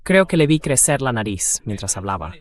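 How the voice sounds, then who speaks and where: A man's voice: medium pitch, sing-song, normal speed. A man speaking as a guest commentator in a political discussion, with a wry, critical way of talking.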